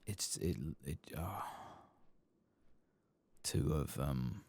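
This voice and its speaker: A soft-spoken man doing whispered ASMR game commentary in a breathy, gravelly voice, musing in an unhurried, hesitant way. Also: very deep voice, lively intonation. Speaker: gaming ASMR host.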